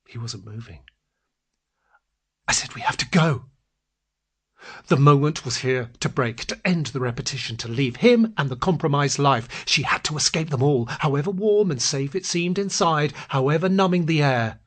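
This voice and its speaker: A man with a husky, breathy voice narrating a literary story in a deliberate, dramatic way. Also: fairly high pitch.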